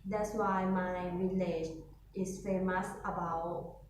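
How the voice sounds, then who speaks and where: A woman from northern Thailand with a Thai accent, answering speaking-test questions in halting English with pauses. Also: on the low side, steady tone, a little hiss or hum. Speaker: test candidate. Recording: echoey room, voice from far off.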